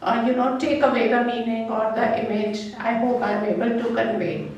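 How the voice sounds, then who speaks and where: Halting Indian woman in an echoey hall. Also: a little high, fairly even intonation, darkish tone, dull audio, a little hiss or hum. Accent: Indian English with Punjabi. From Punjab, India. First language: Punjabi. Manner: halting, many fillers, mixes in Hindi. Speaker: haiku poet giving a reading. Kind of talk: speech at a public reading. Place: club function hall. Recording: echoey hall, mic far off.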